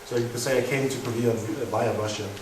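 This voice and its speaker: A young Danish man, a native Danish speaker, answering audience questions in English with many pauses and restarts. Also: mid-range voice, fairly even intonation. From Denmark. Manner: hesitant, many fillers and restarts. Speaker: guest speaker. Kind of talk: live Q&A answers. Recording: echoey hall, mic far off, noisy.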